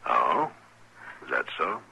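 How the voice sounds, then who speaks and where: Very deep-voiced stern western marshal. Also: male voice, very animated, slow pace, creaky, bright, forceful tone, breathy edge, lo-fi sound, heavily acted. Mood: dry, probing. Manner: laconic, dry, authoritative. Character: stern, dry, world-weary frontier marshal. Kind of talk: old-time radio western acting. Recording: vintage radio with crowd behind.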